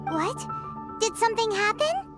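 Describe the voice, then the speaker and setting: Squeaky, very high childlike fairy companion. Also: female voice, normal speed. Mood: concerned. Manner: excitable, exclamatory, speaks of self in third person. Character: tiny chirpy childlike fairy companion girl, excitable and blunt. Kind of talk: scripted video game dialogue. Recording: game music behind the voice.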